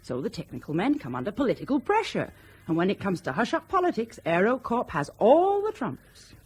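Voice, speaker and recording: A candid investigative journalist in a radio drama. Her voice is husky and mid-pitched, with very varied intonation. She comes across as shrewd and matter-of-fact. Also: huge pitch swings, a little fast, a little mellow. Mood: sober argument.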